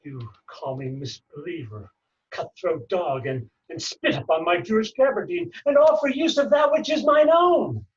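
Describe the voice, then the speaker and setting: A man performing a wronged, bitter moneylender in a Shakespeare play, with deliberate, declaimed stage delivery. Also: a little high, animated, a little mellow. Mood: bitter, indignant. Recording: muffled, distant microphone.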